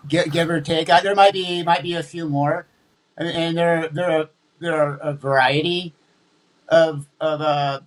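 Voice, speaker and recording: A man's voice: a little high, fairly even intonation, a little slow, poor audio. A man who speaks as a guest in a casual interview, hesitating and repeating words as he thinks. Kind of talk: conversational interview.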